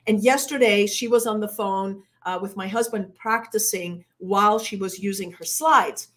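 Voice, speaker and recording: A middle-aged woman coaches public speaking in a live webinar. She talks in a lively, chatty way, reading out viewer comments from a bright indoor room, seated before a webcam. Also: medium pitch, normal speed. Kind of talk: live-streamed webinar talk.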